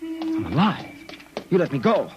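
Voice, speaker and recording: A panicky, stammering private eye. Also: male voice, high voice, measured pace, lo-fi sound, slight background noise, heavily acted. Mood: nervous bluster. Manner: nervous, stammering, excitable. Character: panicky, fast-talking Earthman private eye. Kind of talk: 1950s radio drama acting.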